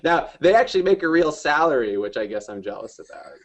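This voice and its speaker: A man's voice: on the high side, very animated, fast, highs cut off. Rambling male game developer guest. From New Jersey. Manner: rambling, filler-heavy. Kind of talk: casual interview chat.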